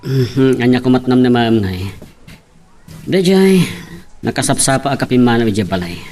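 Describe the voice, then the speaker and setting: Man acting troubled husband, mid-pitched voice. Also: lively intonation, moderate pace, a little mellow, lightly acted. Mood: troubled, earnest. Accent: Ilocano. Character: troubled young husband confiding in a friend. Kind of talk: Ilocano radio-style drama acting.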